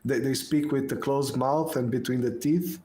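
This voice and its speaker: A man from Portugal hosting a casual political podcast chat, speaking in halting bursts and repeating words, with wry remarks. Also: medium pitch, fairly even intonation, a crowd in the background. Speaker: podcast host.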